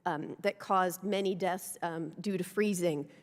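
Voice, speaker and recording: A woman's voice: on the low side, a little slow. A woman testifying earnestly at a committee hearing. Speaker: hearing witness. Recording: hearing room with long reverb.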